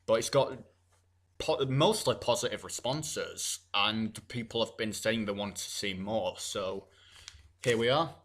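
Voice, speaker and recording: A man's voice: on the low side, measured pace, fairly bright tone. A teenage boy, co-hosting a podcast in casual chat, blunt and rambling with plenty of swearing. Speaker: podcast co-host.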